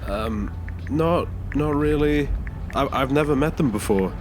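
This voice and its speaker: A man's voice: mid-range voice, unhurried, noisy background, close to an everyday voice. A man playing a nervous, defensive taxi passenger who stammers and hesitates, in a science fiction audio drama. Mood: nervous, awkward.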